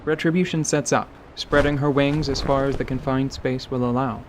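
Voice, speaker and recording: A man giving scripted story narration for an audio drama in a measured, articulate manner. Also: medium pitch, fairly even intonation, slight background noise.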